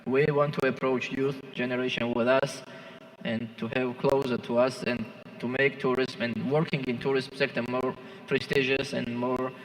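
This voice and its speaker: A man who is not a native English speaker, giving halting, impromptu remarks as a conference panelist. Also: medium pitch, little pitch movement. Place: large conference hall. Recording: echoing hall with long reverb.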